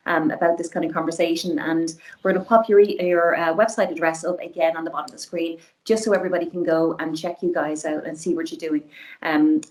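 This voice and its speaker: A woman's voice: fairly low pitch, rough recording. A woman co-host thanking guests and wrapping up an interview. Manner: grateful, friendly sign-off. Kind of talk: interview wrap-up.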